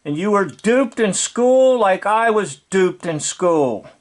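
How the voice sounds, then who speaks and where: Slow, high-pitched man preaching his commentary. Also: lively intonation. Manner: preachy, emphatic, repeats words for emphasis. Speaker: video commentator. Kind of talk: informal explanatory commentary on a film.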